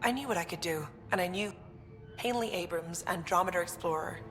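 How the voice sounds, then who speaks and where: A woman's voice: on the low side, fairly quick, lightly acted. A woman playing a confident, upbeat research scientist at a colony in a video game, speaking informally and earnestly. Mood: earnest, proud. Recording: long reverb, music behind.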